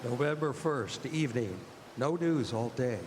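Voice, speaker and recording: A man playing an earnest gentleman vampire hunter reading his clipped journal entries in a live radio drama. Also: mid-range voice, expressive, a little slow, close to an everyday voice. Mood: grave, urgent. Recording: reverberant stage hall, faint noise.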